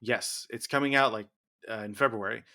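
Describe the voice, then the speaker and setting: A man hosting a podcast in casual conversation, rambling through his points and quick to tease his guest with jokes. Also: mid-range voice, fairly even intonation, pushed and bright. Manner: rambling, teasing, quick to joke. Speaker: podcast host.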